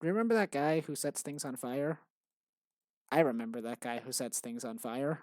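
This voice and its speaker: A tired man rambles in a monotonous, deadpan way while he works on his game. His voice is mid-pitched and dry. He sits close to a desktop mic. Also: normal speed, no room sound. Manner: tired, low-energy. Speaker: game developer vlogger. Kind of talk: casual rambling commentary while working.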